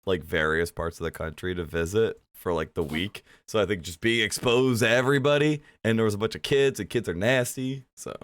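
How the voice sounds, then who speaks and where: A man's voice: on the low side, fairly quick, few pauses, fairly bright tone. A man co-hosting a casual podcast chat, stuffy-nosed and sniffly, laid-back and swearing freely. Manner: laid-back, profane, laughs often. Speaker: podcast co-host.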